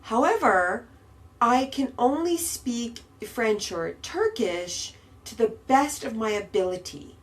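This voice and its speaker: Casting: woman podcast host, unhurried, patient teacher. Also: fairly high pitch, animated. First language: English. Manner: slow, patient, emphatic explaining. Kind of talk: podcast grammar lesson. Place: Istanbul.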